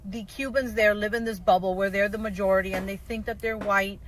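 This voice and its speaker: Casting: woman, medium-pitched voice, for an outspoken, sweary personal video. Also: steady tone, brisk. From South Florida, USA. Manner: blunt, emphatic, swearing. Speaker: social media video maker. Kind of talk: candid personal video monologue.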